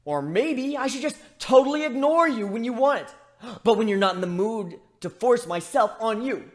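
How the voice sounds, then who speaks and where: Guy playing a young griffin dude in a fanfic reading, high voice, hurt and bitter, ranting. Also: animated, moderate pace. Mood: bitter sarcasm. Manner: ranting, emphatic, sarcastic. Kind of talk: dramatic fan fiction reading.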